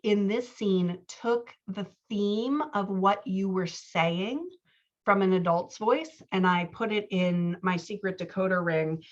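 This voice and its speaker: A woman's voice: mid-range voice, slow, muffled recording. A woman working as a writing coach, going through written excerpts in a conversational, self-deprecating way. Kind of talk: workshop critique, reading excerpts aloud and commenting.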